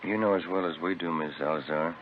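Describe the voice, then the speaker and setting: A tinny old radio recording of a man playing a police detective. He has a low voice and talks fast, with plain, blunt, businesslike questions. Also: a little hiss or hum, close to an everyday voice. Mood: blunt, accusing. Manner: plain, matter-of-fact questioning. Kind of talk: 1950s radio drama acting.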